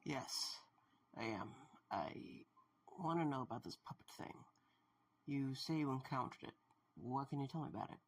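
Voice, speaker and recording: A man acting in an audio drama as a subdued, empathetic man who speaks haltingly. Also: mid-range voice, expressive, husky. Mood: serious, probing. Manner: hesitant, halting delivery. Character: Mike, subdued, empathetic man facing haunted animatronics.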